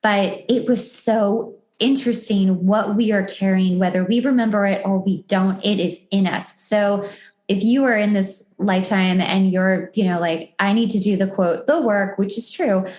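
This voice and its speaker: A woman's voice: medium pitch, fairly even intonation, normal speed, darkish tone. A woman hosting a podcast, talking in an informal, chatty way about personal things in casual conversation. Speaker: podcast host. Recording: roomy, echoey sound.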